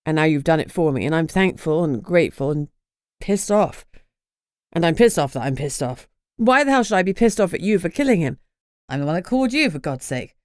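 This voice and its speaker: Woman doing a low, gruff British young man, raw and bitter; dry, close sound. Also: expressive, brisk, no echo. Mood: anguished. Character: grieving, angry young man, werewolf pack member.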